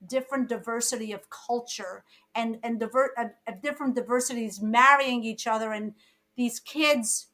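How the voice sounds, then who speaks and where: A woman's voice: a little high, moderate pace. A woman, a podcast guest telling stories about her life and acting out the lines of the people in them. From born in Egypt, raised in Brooklyn. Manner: lively storyteller who acts out quoted lines. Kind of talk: casual interview chat.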